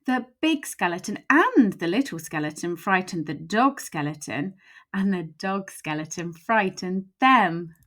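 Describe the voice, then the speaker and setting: A woman's voice: on the low side, expressive, unhurried, a bit bright. A woman storyteller reading a children's picture book aloud expressively, with emphatic, suspenseful phrasing.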